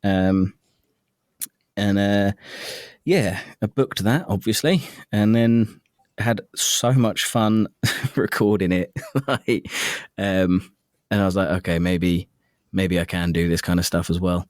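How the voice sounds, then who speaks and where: A man's voice: low-pitched, slow pace, long pauses, darkish tone, dry recording, clean studio sound. A man who narrates audiobooks, chatting casually as an interview guest in a self-deprecating, jokey and sometimes sweary way.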